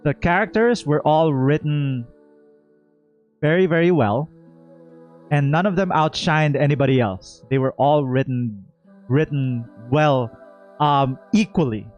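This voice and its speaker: A man giving a casual, expressive livestream reaction to a game, often repeating words for emphasis. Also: on the high side, measured pace, long pauses, dull audio, soft background music. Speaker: gaming streamer.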